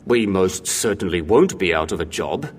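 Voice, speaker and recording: An actor playing a stern, loyal old senior cinema usher, speaking formally and emphatically in an audio drama. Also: male voice, low-pitched, animated, normal speed. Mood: proud, assured. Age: older. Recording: reverberant hall, faint background noise.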